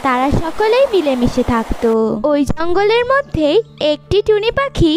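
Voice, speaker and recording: A woman's voice: very high-pitched, sing-song, moderate pace, music in the background. A woman narrating a scripted children's story as the channel's storyteller.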